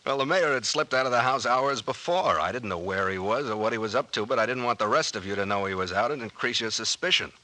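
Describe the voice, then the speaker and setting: A man's voice: medium pitch, fast, hardly any pauses, pushed and bright, lightly acted, low-quality recording. A man acting in an old-time radio drama as a confident, genial sea captain sleuth with an American accent, explaining things in a self-assured way.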